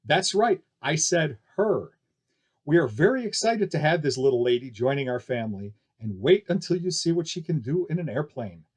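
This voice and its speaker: A showy flying-circus barker played by a male narrator works the crowd in an audiobook tale. His voice is fairly high for a man and husky, with grand, folksy, declamatory patter. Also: very animated, normal speed. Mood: proud, hyping. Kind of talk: ballyhoo announcing in an audiobook story.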